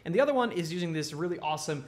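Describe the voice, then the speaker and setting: A man's voice: on the high side, animated, racing. A man hosting a music production tutorial in a casual, informal and enthusiastic way.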